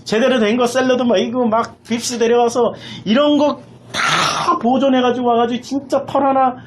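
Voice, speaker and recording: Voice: mid-range voice, steady tone, normal speed, background noise. A pastor preaching an impassioned sermon, emphatically repeating phrases and putting rhetorical questions in a raised voice.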